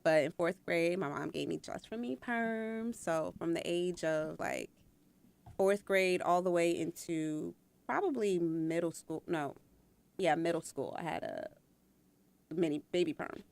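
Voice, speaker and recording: Podcast guest: thirties woman, low gravelly voice, easygoing talker. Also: unhurried, a little mellow, no echo. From New York, Long Island. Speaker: guest, teacher. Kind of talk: casual chat.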